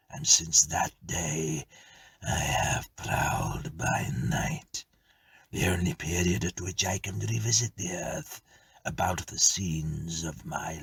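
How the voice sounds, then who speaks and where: A man voicing the stern, mournful ghost of a ruined man in a story reading, with drawn-out, half-whispered delivery. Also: extremely low pitch, gravelly, fairly bright tone, husky, no echo, exaggerated voice.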